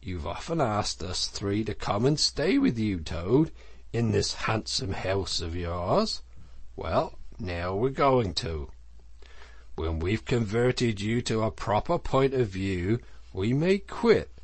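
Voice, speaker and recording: Man doing a grave bossy badger, slow and low, solemn speechifying, storybook character. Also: no echo. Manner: solemn, oratorical, firm. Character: stern, grave, authoritative badger, solemn moralizing elder.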